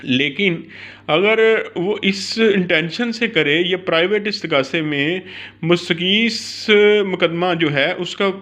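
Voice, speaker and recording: Male law lecturer, Pakistani accent, fairly high voice, halting and repetitive delivery, drops English terms into explanations. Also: fairly bright tone.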